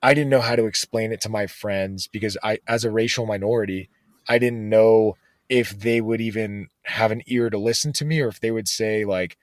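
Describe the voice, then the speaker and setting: A man's voice: lowish voice, moderate pace, no room sound. A man being interviewed as a guest author, talking casually and earnestly with many fillers like "you know".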